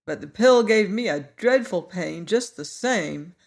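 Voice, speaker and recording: A storyteller voicing a young boy who is easily upset and turns sulky, crying out high and loud. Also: animated, measured pace, creaky. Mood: peevish. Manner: wailing, high and loud in distress.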